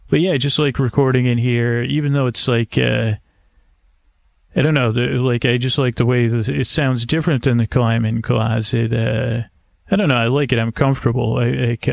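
A man hosting a bedtime podcast, talking in a creaky voice with a hesitant, meandering, measured and gently self-deprecating manner. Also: medium pitch, no room sound, studio quality. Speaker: podcast host. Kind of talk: rambling, soothing bedtime monologue to help listeners sleep. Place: screened porch at night.

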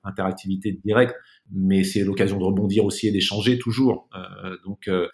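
A man's voice: low-pitched, level tone, brisk. A man speaking as a podcast guest in a casual, reflective interview, searching for his words and often repeating them.

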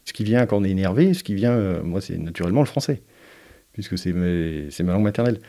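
Low, mellow-voiced thoughtful man. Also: animated, fast talker. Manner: hesitant, thoughtful, frequent fillers. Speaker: interviewee, parent. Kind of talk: reflective interview testimony.

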